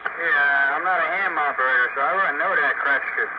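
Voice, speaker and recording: A man's voice: a little high, a little fast. A man bantering bluntly and informally over two-way radio. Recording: very noisy, narrow two-way radio transmission. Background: heavy radio noise.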